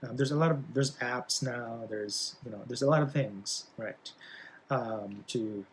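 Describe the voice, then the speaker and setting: A young man talks through a class introduction at a mid-range pitch. He hesitates often, fills gaps with "um" and keeps checking in with "right?". Faint noise hums behind his voice. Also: a little slow, poor audio. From Philippines. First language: Bisaya. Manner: hesitant, many fillers, frequent tag "right?". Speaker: college English instructor. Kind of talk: casual recorded class introduction.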